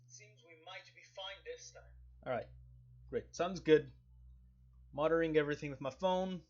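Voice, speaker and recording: Higher-voiced man streaming in muffled, lo-fi audio. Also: normal speed, a little mellow. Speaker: game streamer. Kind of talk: casual livestream commentary on a visual novel. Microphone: headset microphone. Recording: dull lo-fi headset microphone.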